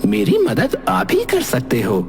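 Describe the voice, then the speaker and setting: A man's voice: high voice, huge pitch swings, measured pace, creaky, heavily acted. A man voicing a friendly, indignant cartoon ghost with an earnest, dramatic, pleading way of talking. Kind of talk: animated cartoon character acting. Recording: music bed under the voice.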